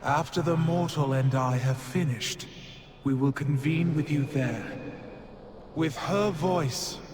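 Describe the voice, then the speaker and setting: Solemn, slow-spoken celestial herald. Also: female voice, low-pitched, noisy background. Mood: solemn, dutiful. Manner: ceremonial, measured, formal. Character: solemn, dutiful celestial herald of a fantasy afterlife. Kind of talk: video game cinematic acting.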